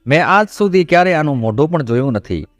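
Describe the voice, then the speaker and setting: A man's voice: on the high side, lively intonation, brisk, no echo, close to an everyday voice. A man voicing an indignant male royal minister who protests emphatically in a moral tale. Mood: indignant, defensive.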